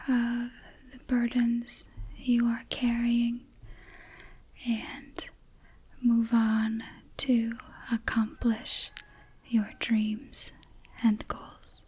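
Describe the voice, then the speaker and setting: Very slow, breathy whispering ASMR woman. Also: on the high side. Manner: soothing, hushed, slow with long pauses. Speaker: ASMR host, meditation guide. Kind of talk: ASMR guided meditation.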